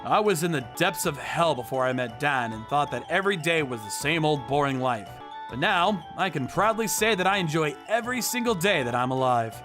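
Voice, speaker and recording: A man narrating a manga dub story in the first person with earnest, expressive delivery. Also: fairly high pitch, brisk, over music. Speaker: narrator and voice actor.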